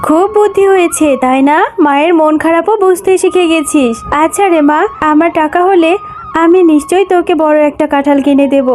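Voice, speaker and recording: A woman voicing a loving, worried mother fox in a cartoon, tender and gently scolding. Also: very high voice, fairly quick, slight background noise. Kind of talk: cartoon voice acting. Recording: music under the voice.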